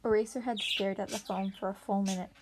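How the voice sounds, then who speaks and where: Woman, amateur story reader, narration, medium pitch, noisy recording, birds chirping. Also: fairly even intonation, fast. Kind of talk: reading fan fiction narration aloud.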